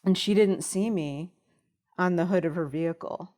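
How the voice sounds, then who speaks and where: Low-pitched woman telling her story. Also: moderate pace, a little mellow. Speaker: talk show guest. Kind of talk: personal storytelling in an interview.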